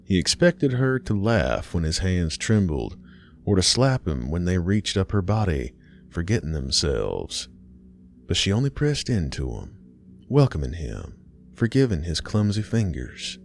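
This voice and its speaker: An American man narrating a scripted horror tale in a deliberate, ominous, folksy campfire storytelling manner. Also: low-pitched, sing-song, creaky. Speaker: storyteller host of a horror series. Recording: music bed behind the voice. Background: music.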